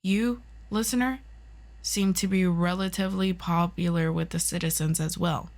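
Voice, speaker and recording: A woman's voice: fairly low pitch, steady tone, moderate pace, a little mellow, lightly acted. A woman playing a composed, formal and slightly condescending superhero agency official in an ASMR roleplay. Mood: formal, polite.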